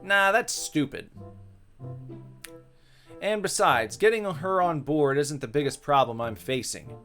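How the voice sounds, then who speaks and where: A man's voice: fairly high pitch, animated, unhurried, fairly bright tone, faint music behind. A man voicing a cocky, lecherous male ghost in a grumbling, theatrical reading of a visual novel. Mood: scheming.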